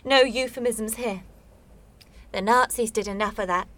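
A woman's voice: a little high, moderate pace, a bit bright, lightly acted. An actress in a radio play voicing a blunt, bitter woman burdened by family guilt, with a sardonic, probing manner. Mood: probing, stern.

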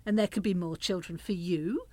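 A woman's voice: fairly low pitch, moderate pace, warm tone, no room sound, close to an everyday voice. An actress in a radio play playing a middle-aged grandmother who pleads and reproaches, coaxing and justifying herself. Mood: coaxing, pleading. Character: pleading, reproachful grandmother, anxious to smooth things over.

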